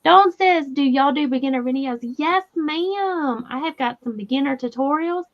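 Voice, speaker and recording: Southern woman host, high-pitched, chatty and giggly, slightly dull lo-fi sound. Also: moderate pace. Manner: chatty, laughing, reads viewer comments aloud. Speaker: crochet livestream host. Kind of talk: casual livestream chat.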